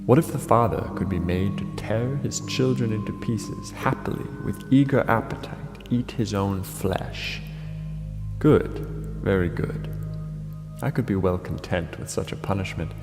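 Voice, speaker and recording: An actor playing a vengeful king tormented by rage in a classical tragedy, declaiming gravely and theatrically. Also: on the low side, a little slow. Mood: brooding, vengeful. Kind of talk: classical tragedy monologue, declaimed audio drama. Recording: long hall reverb, music underneath. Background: dramatic music.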